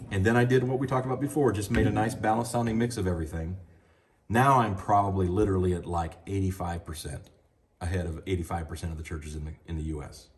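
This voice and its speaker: A man's voice: fairly low pitch, darkish tone. A man giving a casual hands-on lesson on mixing sound, talking through what he does as he adjusts a mixing console and speaks into a handheld mic. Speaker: audio trainer. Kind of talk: hands-on sound desk tutorial. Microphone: handheld microphone.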